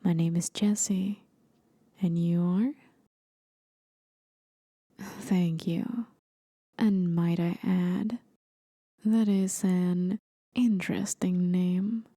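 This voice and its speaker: ASMR roleplay demon guide, female, acted by a woman: playful and coaxing, soft breathy half-whisper, slightly low pitch, very close and dry. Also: steady tone, extremely slow, long pauses, no room sound, exaggerated voice. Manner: teasing, coaxing, gently theatrical. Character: playful, courteous red-skinned horned demon woman, forest guide. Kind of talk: ASMR roleplay, scripted acting.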